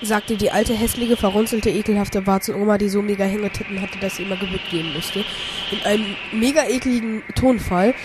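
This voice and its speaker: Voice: mid-range voice, fairly even intonation, fairly quick, background noise. A narrator telling a parody audio play in a theatrical storytelling style. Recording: loud music under the voice.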